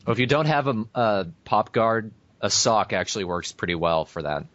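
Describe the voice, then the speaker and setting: A man's voice: fairly low pitch, expressive, moderate pace, fairly bright tone. A man hosting a podcast in casual chat with a dry, blunt manner, recorded on a dull lo-fi voice chat. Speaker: podcast host.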